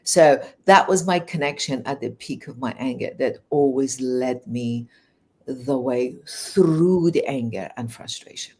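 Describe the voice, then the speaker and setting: Interview guest: Farsi-native woman, Iranian-English accent, earnest, lively storytelling. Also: a bass voice, a little slow, husky. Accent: Iranian, learned English in England. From Iran. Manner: earnest, animated, with restarts.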